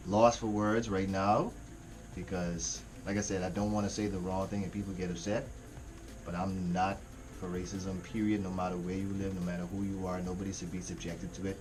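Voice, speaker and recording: A man's voice: sits low, a little hiss or hum, rough recording. A man from the Caribbean with a Caribbean accent, hosting a music reaction in a slangy, rambling, laid-back way. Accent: Caribbean English. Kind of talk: casual reaction commentary.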